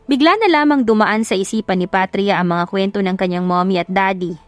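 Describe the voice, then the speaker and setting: A woman's voice: medium pitch, a little fast, no room sound. A woman narrator reading a scripted audio love story. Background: music.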